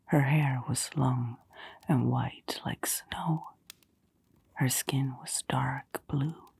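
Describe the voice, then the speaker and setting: A woman playing a kindly, mysterious keeper of a magical old bookshop in a hushed, soothing ASMR storytelling roleplay, pausing often. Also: rumbling low, creaky, breathy edge, full character voice. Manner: slow, hushed, soothing, with long pauses.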